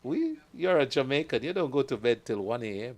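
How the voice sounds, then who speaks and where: A man hosting a live talk show, energetic and hyping up his guest and partner stations. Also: mid-range voice, moderate pace.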